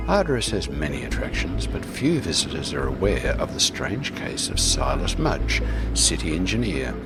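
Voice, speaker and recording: Very deep-voiced man hosting a story show. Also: gravelly. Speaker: radio show host. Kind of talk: casual live hosting and scripted story narration.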